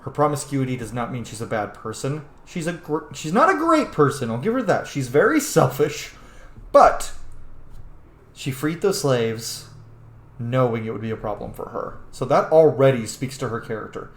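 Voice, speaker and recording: A man hosts a let's play from a roomy, echoing space, his voice at an ordinary pitch. He talks casually but argues his opinions hard, swearing freely and leaning on his words for emphasis. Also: expressive, normal speed. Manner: profane, emphatic, argumentative. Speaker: gaming video host. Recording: roomy, echoing home microphone.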